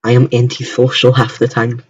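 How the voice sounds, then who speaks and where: Young American male streamer, casual and halting delivery, fairly flat pitch, slightly lo-fi sound. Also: breathy edge, no echo. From United States. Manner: halting, repetitive starts. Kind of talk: casual chat on a livestream.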